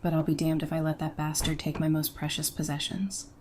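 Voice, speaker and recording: Woman playing a lowish-voiced, defiant survivor. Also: monotone, brisk, a little hiss or hum, lightly acted. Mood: bitter resolve. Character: defiant, bitter, strong-willed female survivor. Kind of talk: audio drama acting.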